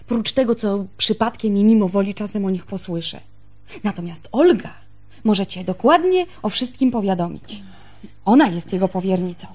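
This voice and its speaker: An actress plays a domineering young village woman with stern pride. Her mellow, mid-pitched voice stays cool and firm, with curt delivery in a radio-drama style. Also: natural voice.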